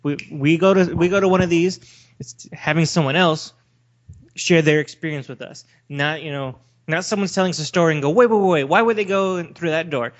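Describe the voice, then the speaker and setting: A man with a moderately high voice argues casually as a podcast co-host. He is blunt and questioning, pushing back on points. The recording is a little dull and lo-fi. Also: brisk. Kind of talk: casual debate.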